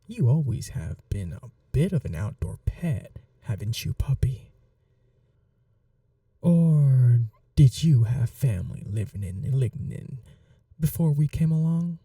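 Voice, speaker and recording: A woman playing an aristocratic, possessive vampire mistress in a teasing, quietly menacing, breathy, intimate ASMR roleplay, often dropping to a whisper. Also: a bass voice, very animated, no room sound, clean studio sound, far from the mic, exaggerated voice. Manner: slow, languid, condescendingly affectionate. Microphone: close microphone, dry.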